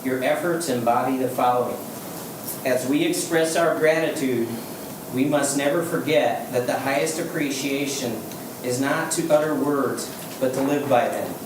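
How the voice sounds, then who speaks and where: A man, a veterans memorial volunteer, reading a thank-you letter aloud at a city council meeting in a measured, unhurried way. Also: medium pitch. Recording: echoey chamber, distant mic, background noise.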